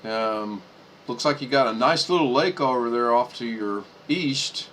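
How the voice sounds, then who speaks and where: A man's voice: mid-range voice, animated, unhurried, fairly bright tone, a little hiss or hum. A man hosting a casual video chat, talking easily while he works through the screen. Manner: easygoing, talks while working the screen.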